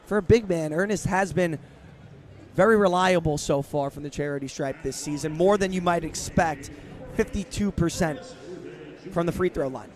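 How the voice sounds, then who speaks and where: A man giving conversational, explanatory color commentary on a live basketball game. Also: high voice.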